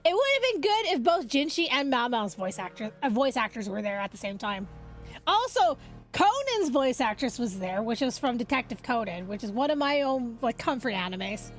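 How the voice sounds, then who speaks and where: A young woman with a high voice talks to her livestream chat in a loose, rambling way, full of fillers and restarts. She sounds a little sniffly from a cold. Faint music plays quietly under her desk-mic voice. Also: sing-song, moderate pace. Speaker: VTuber streamer.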